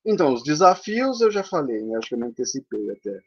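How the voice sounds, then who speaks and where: A man's voice: mid-range voice, big pitch swings, quick pace. A man with a Brazilian accent, a theatre teacher for older adults, giving a conference presentation over slides in a chatty way, often tagging on little questions. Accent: Brazilian Portuguese. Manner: chatty, peppered with tag questions. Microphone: video call. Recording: dull lo-fi online call audio.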